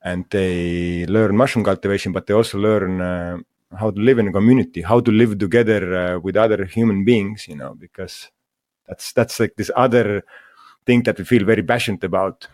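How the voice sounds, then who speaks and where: A man from Estonia, a guest in an interview, speaking English in a halting way with many fillers and restarts. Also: on the low side, steady tone, darkish tone, no echo, clean studio sound. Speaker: guest, mushroom school founder. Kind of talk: casual interview talk.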